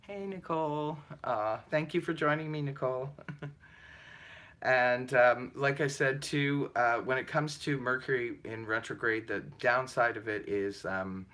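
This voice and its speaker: A deep-voiced man talks through a relaxed astrology livestream, greeting viewers and laughing between thoughts. His tone is bright and forward, with plenty of ums and uhs as he reads the chat. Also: a little slow. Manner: chatty, laughs often, many fillers. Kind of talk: casual live chat reading viewer comments.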